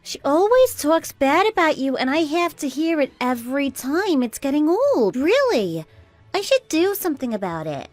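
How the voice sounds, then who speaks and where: A woman's voice: high voice, very animated, normal speed, no room sound. A woman playing a chatty, weary in-law who complains and gossips in an audio drama. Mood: gossipy, weary. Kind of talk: conversational drama dialogue.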